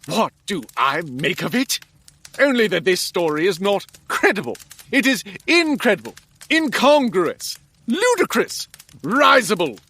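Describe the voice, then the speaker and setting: An actor playing a pompous, scoffing, dim-witted eccentric detective, theatrically piling up synonyms in an audio drama. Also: male voice, high-pitched, sing-song, a little slow, bright, forceful tone, husky, exaggerated voice. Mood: scornful. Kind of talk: full-cast audio drama acting.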